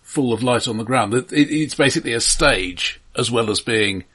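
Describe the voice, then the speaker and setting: A man's voice: mid-range voice, normal speed, pushed and bright, slightly rough. A man co-hosting a casual, analytical film discussion, hesitant and thoughtful in his phrasing. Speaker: podcast co-host.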